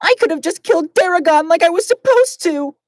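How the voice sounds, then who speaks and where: A woman puts on a young warrior girl pushed far up into a shrill, strained register, loud and raw with grief. The delivery swings widely in pitch, and the sound is dry and close. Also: animated, a little fast, dry recording. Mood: distraught. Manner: shrill, shouting.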